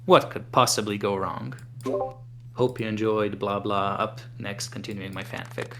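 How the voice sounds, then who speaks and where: Low-voiced man reading a fan story. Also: fairly even intonation, normal speed, a bit bright, a little hiss or hum. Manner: casual, offhand asides while reading. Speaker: fanfic reader.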